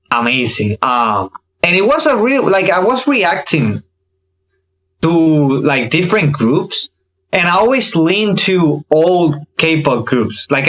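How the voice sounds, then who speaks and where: Animated man with a higher-pitched voice. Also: rough recording. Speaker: podcast guest, K-pop reaction video creator. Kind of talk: casual podcast chat.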